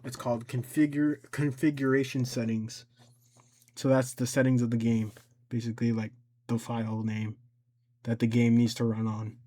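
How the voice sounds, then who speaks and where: Halting man giving a casual tutorial. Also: medium pitch, level tone, dark tone. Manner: halting, repeats instructions and self-corrects. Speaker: tutorial creator. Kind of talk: casual unscripted screen-recorded tutorial. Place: voice-over for a screen recording.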